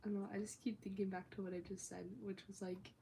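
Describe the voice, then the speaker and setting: A woman's voice: medium pitch, little pitch movement, quick pace, creaky, mellow, slight background noise. Rambling, chatty teenage girl livestreamer. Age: teens. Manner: rambling, chatty, filler-heavy talk with frequent "like". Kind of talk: casual livestream chat. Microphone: phone or tablet.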